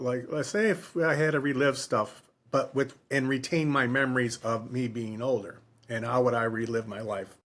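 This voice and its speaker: A man's voice: medium pitch, a bit bright. An American man co-hosting a podcast, discussing books casually in a rambling way and repeating his phrases. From Michigan and Texas, US. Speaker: book podcast co-host.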